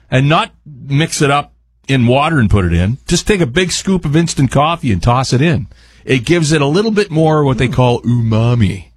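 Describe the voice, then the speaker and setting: A man's voice: mid-range voice, slightly rough, no room sound. A man, a radio chef explaining a recipe in a folksy, informal, rambling way. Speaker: resident chef and radio host. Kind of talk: casual chat explaining a recipe.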